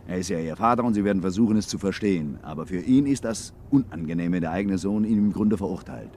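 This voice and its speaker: Deep-voiced, scheming ship's officer. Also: male voice, animated, fast, dark tone. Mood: sly, insinuating. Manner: sardonic, insinuating. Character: cynical, scheming ship's officer, slyly insinuating older shipmate. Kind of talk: 1950s radio drama acting. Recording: hissy 1950s radio recording.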